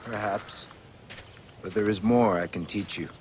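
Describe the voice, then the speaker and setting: A man playing a solemn, wise frontier hunting mentor in a video game, speaking in a grave, measured, instructive way. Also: on the low side, fairly even intonation, a little hiss or hum, natural voice. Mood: calm, instructive. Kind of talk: video game cutscene acting.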